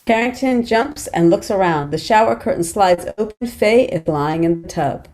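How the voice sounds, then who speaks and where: A woman's voice: medium pitch, expressive, normal speed, a little mellow. A woman reading screenplay stage directions as scripted narration.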